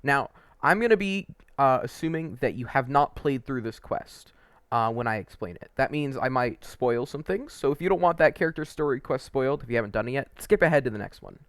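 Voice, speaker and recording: A man's voice: mid-range voice, lively intonation, fairly quick, dry recording. Casual man reviewing games, dry close sound. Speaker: video creator and host. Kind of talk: casual opinionated video game review commentary.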